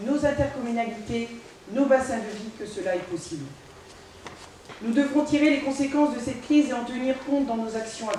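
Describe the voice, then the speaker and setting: A woman gives a formal political address. The sound is heavily reverberant and somewhat distant, as in a large hall. She phrases each point deliberately, like an official reading prepared remarks. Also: fairly high pitch, a little mellow. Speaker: local politician, intercommunal council president. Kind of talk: formal prepared political speech read aloud. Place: large village hall, indoors. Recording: very echoey hall, distant mic, faint noise.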